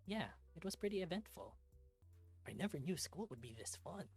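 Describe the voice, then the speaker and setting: An eager, friendly young high schooler from a visual novel, put on by a let's-play host. The voice is lifted in pitch and a little husky, with faint music underneath. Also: sing-song, normal speed, darkish tone. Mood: cheerful, agreeable.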